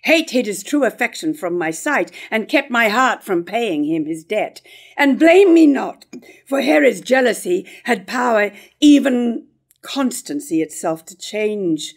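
An older woman playing a conflicted, grieving queen in a staged reading of early modern verse drama, with deliberate, declamatory delivery. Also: fairly high pitch, sing-song, heavily acted. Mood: bitter, resentful. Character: conflicted grieving queen, torn between love and hate. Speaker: actor in a staged play reading. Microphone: stand microphone at a lectern.

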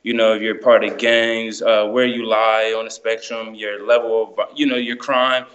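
A man's voice: fairly low pitch, flat delivery, moderate pace, fairly bright tone. A man, chatting casually and explaining things in informal slang. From New Jersey, United States. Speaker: guest storyteller, amateur musician. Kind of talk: casual chat. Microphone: phone held close, video call. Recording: dull lo-fi video call.

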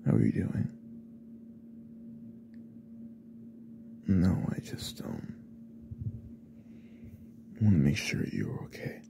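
A man playing a possessive, obsessive young classmate in an ASMR roleplay, speaking in a hesitant, breathy half-whisper. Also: deep voice, sing-song, takes it slowly, slight background noise, full character voice. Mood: hushed, evasive. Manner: hesitant, hushed, probing.

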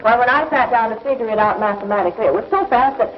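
A woman's voice: a little high, rapid-fire, noisy background, close to an everyday voice. A young woman acting a poised, earnest woman scientist in a 1950s TV science fiction serial. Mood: uneasy. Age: young adult. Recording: muffled old film soundtrack with music.

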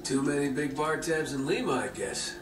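An actor playing an easygoing, sardonic treasure hunter in casual video game banter. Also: male voice, fairly high pitch, expressive, moderate pace, recorded from a distance, over music, natural voice. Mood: wry. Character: wry, easygoing young male treasure hunter. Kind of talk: naturalistic video game acting. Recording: roomy, noisy game audio from afar.

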